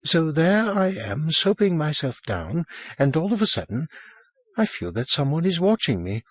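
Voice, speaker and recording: Man playing a hysterical woman, piercing high voice, agitated, demanding, snappish, breathless, audiobook character. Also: big pitch swings, normal speed, no echo. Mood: pleading, fearful. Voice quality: piercing, shrill.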